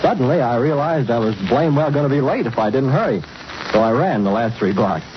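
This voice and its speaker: An American man hosts in a scripted, folksy 1940s radio manner. His voice is medium in pitch. The vintage recording is muffled, with clear noise throughout. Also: expressive, brisk. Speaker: radio host and announcer.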